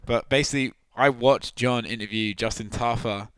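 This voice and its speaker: A man's voice: medium pitch, normal speed, clean tone, no room sound. A tipsy man chatting loosely as a podcast guest, laughing often and swearing freely. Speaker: podcast guest, media personality. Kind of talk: casual podcast chat.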